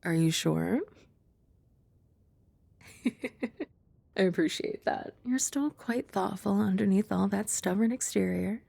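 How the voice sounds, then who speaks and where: A young woman performs a poised young maid who speaks in formal, courteous phrases and slips in dry, gentle teasing. Her voice is mellow and dark with a gravelly fry running through it. It is recorded close and dry, with no room sound at all. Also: mid-range voice, slow pace, long pauses, no room sound, full character voice. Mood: calm. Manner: soft-spoken, dropping to whispers at times. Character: composed, dryly teasing young female maid and childhood friend. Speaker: ASMR roleplay performer. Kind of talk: ASMR roleplay.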